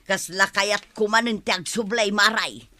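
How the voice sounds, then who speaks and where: A woman's voice: medium pitch, measured pace, sharp tone, no echo. An actress playing a gossipy, plaintive village woman in an Ilocano radio comedy drama. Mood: confiding. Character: gossipy, complaining village woman confiding in friends.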